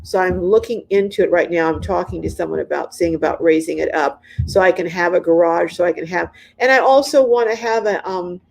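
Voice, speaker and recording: A woman's voice: lowish voice, fairly quick, creaky, few pauses, rough recording. Chatty woman in her sixties. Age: 60s. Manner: chatty, repeats phrases, frequent ums and restarts. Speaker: livestream host. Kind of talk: casual live chat reading comments. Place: inside her van or RV.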